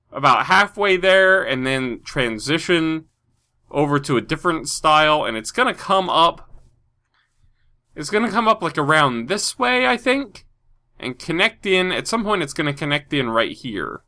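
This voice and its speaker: Male host, congested from a cold, bright tone, loose thinking-aloud gameplay commentary full of "uh". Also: fairly high pitch. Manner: rambling, thinks aloud with many fillers. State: stuffy from a cold. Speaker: Minecraft video host.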